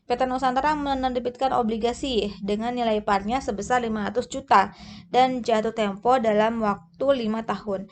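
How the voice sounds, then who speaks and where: High-voiced woman tutoring. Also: slight background noise. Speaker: accounting tutor. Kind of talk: informal explanatory lecture.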